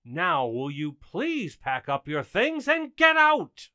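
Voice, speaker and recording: A man playing an exasperated, flustered but mannerly husband and father in a radio comedy, speaking formally and haltingly. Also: on the high side, wildly swooping pitch, pushed and bright, dry recording, studio quality, exaggerated voice. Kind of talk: old-time radio comedy acting.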